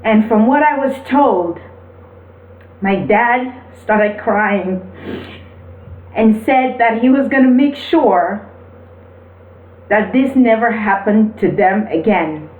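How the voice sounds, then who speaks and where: A woman from Cameroon gives an earnest, encouraging motivational talk with personal storytelling, speaking deliberately as the host of a video. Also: medium pitch. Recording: roomy, distant mic, thin phone-like sound.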